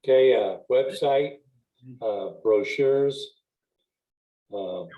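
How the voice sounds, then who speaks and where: A man with a middle-pitched voice speaks haltingly at a board meeting, pausing often. The sound is narrow and tinny, like a phone line. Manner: hesitant, lots of pauses and fillers. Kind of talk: casual meeting discussion.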